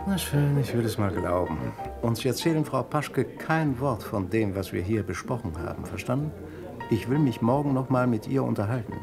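An actor playing an insistent, probing police inspector in a German radio drama, commanding in manner. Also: male voice, medium pitch, lively intonation, normal speed, creaky, over music. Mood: brisk, probing.

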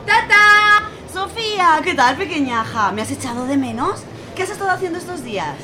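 A woman's voice: very high-pitched, lively intonation, normal speed, background noise. A woman playing an affectionate, placating young daughter in a radio drama. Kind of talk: amateur radio drama acting.

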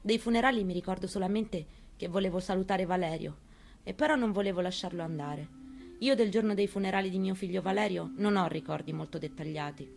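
A woman performing a dramatic reading of a memoir on the radio, telling it in the first person in a quiet, measured way. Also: lowish voice, creaky, soft background music. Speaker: reader. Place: radio studio.

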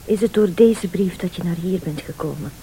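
An actress playing an anxious, wealthy wife in a radio thriller. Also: female voice, fairly low pitch, fairly quick, mellow, slight background noise, natural voice, rough recording. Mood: tense, pleading. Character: anxious rich wife caught between two men.

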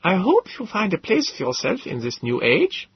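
A man voicing a thin, fragile, eccentric male professor in his thirties with a light German accent, excitable and rambling. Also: sits high, normal speed, breathy edge, voice pushed up, exaggerated voice. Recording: dull lo-fi recording.

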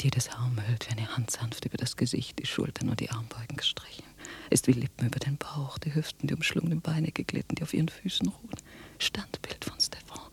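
A woman's voice: deep voice, vocal fry. An actress playing a feverish, love-struck woman in a radio drama, whispering breathlessly in an intense, incantatory rapture. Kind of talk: literary radio drama monologue.

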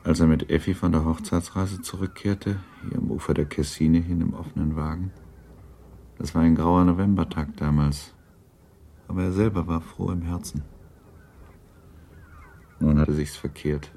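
A man's voice: rumbling low, fairly even intonation, moderate pace, pauses often, dark tone, slight background noise. A man narrating a literary radio drama from a script in a sober, composed storytelling manner.

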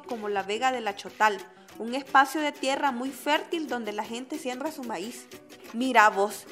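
Radio drama role: actress as earnest Honduran woman activist, fairly high voice, explanatory, light music behind. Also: a bit bright, natural voice. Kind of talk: scripted radio drama dialogue.

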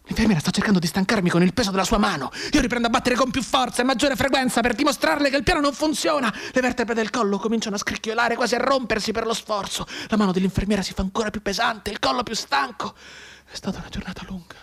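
An actor playing a mute, bedridden wounded man in an intense radio monologue, going between hushed brooding and anguished urgency. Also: extremely high pitch, fast, vocal fry, heavily acted. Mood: desperate, urgent, then weary, resigned. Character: mute, bedridden wounded man desperately tapping out Morse code.

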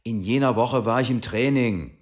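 A man's voice: mid-range voice, moderate pace, close to an everyday voice. An actor playing a defensive, evasive man in a radio drama, brusque and insistent in his denials.

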